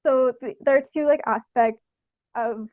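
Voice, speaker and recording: A woman's voice: a little high, a little slow, no room sound, highs cut off. A young woman answering interview questions in a hesitant, thoughtful, conversational way, often using "like" as filler. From Canada. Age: young adult. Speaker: podcast guest, theatre artist.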